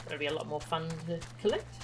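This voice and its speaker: Female game streamer, lower-register voice, playful and relaxed casual commentary. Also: fast, background noise. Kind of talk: casual livestream gameplay chat, reading game text aloud. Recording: game music behind the voice.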